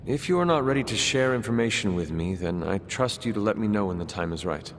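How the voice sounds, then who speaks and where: A man as a low-voiced stoic warrior. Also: animated, a little hiss or hum, close to an everyday voice. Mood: solemn. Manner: formal, measured, few contractions. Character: stoic male warrior hero, solemn and determined. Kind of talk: video game cutscene acting. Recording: long reverb, faint music.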